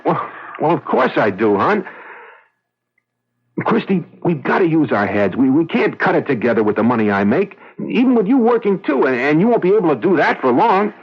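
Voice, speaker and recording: An actor with an American accent playing a self-serving young agency gopher in a radio drama, slangy and hard-boiled, with a husky voice. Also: male voice, fairly high pitch, big pitch swings, normal speed, exaggerated voice. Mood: nervous, evasive. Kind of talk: 1970s radio drama acting. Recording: dull vintage radio recording.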